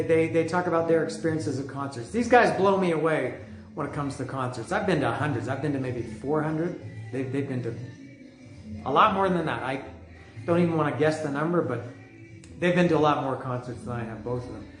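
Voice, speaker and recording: A middle-aged man hosting a music podcast from home, talking loudly in a casual, rambling way with many restarts. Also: fairly high pitch, moderate pace. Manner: chatty, rambling, with frequent restarts; loud voice. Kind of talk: casual monologue. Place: home, street music outside. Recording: echoey room with music outside. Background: music from outside.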